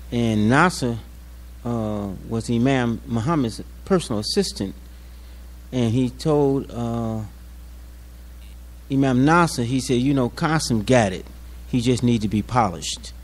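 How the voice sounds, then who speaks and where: An older American man delivers an unscripted tribute as an imam. His manner is plainspoken and folksy, moving through personal stories in a mid-pitched voice. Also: a little mellow. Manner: plainspoken, folksy storytelling with acted-out quotes. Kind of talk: unscripted public speech with personal anecdotes.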